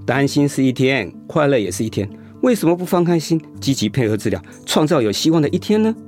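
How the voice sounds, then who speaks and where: An actor playing a determined, encouraging male cancer patient in a Mandarin radio drama, with a husky voice. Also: medium pitch, sing-song, fast, darkish tone, faint music behind, lightly acted. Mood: earnest, encouraging.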